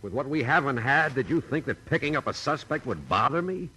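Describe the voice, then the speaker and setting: An actor playing a gruff, doubting police lieutenant in an old-time radio noir, with a measured, wry delivery. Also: male voice, medium pitch, sing-song, pushed and bright. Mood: skeptical, weary. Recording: noisy old radio drama recording.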